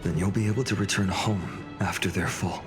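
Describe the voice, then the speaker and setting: A man acts out a young warrior leader from a fantasy game. He sounds composed and gracious, with a teasing, heartfelt streak. Music plays softly behind him. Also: sits low, fairly even intonation, fairly quick, long reverb tail, natural voice. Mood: easygoing, teasing. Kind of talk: video game voice acting.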